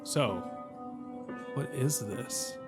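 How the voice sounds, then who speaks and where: Man, mid-pitched, casual gaming commentary, rambling, self-deprecating, game music behind. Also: extremely slow. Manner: rambling, thinking aloud, self-deprecating joking. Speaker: video game streamer. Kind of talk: casual let's-play commentary.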